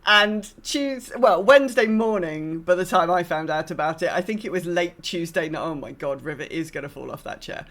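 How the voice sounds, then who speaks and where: A woman's voice: lowish voice, normal speed, few pauses, a bit bright. A woman co-hosting a gaming podcast in casual chat, rambling and often breaking off mid-sentence. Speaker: podcast co-host.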